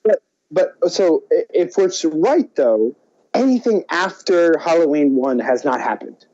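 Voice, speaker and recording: A man co-hosting a podcast in a casual, halting film chat, often restarting his thoughts. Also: on the high side, animated, dark tone, lo-fi sound. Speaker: podcast co-host.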